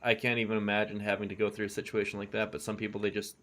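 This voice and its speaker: A man's voice: on the low side, monotone, very fast, bright, forceful tone. A man streaming a video game, chatting casually with his viewers while he plays, rambling easily between game callouts. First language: English. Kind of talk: casual chat while gaming.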